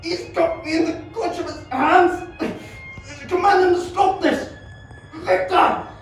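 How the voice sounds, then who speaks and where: A man's voice: very high voice, steady tone, slow, slight background noise. A young amateur man playing a moralizing, horrified professor with melodramatic delivery in a radio play. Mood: panicked outrage. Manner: melodramatic, preachy. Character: alarmed, moralizing male professor, horrified by a monster. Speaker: amateur student performer. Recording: very echoey, distant, music behind.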